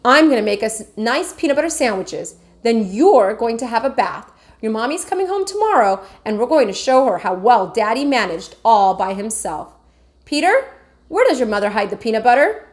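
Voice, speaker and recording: A woman's voice: a little high, sing-song, moderate pace. A woman voicing a cheerful, bumbling father in a storybook read-aloud, upbeat and coaxing.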